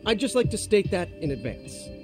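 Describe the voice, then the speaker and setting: A man's voice: high voice, big pitch swings, normal speed, over music. An actor playing a skeptical, combative prosecutor in his thirties in a courtroom drama game, brusque and challenging.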